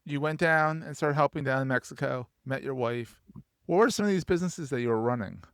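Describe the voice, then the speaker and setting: A man's voice: medium pitch, fairly quick, fairly bright tone, smooth, no echo, studio quality. A man hosting a podcast interview, chatting casually and jumping in with playful, enthusiastic remarks. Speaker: podcast host.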